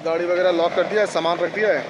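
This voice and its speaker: A man's voice: high-pitched, steady tone, fast talker, highs cut off. A man in his thirties casually talking through a travel vlog on a phone at arm's length, outdoors and in a car. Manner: chatty, calling out to friends. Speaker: travel vlogger. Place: outdoors on a rainy hill-town street and in a car. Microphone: phone held at arm's length. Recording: noisy outdoor street.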